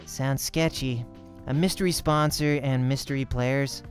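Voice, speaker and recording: A man's voice: mid-range voice, steady tone, unhurried, soft background music, close to an everyday voice. A man voicing a cocky, hot-tempered young male pro gamer in a visual novel, brash and slangy. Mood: skeptical, grudging.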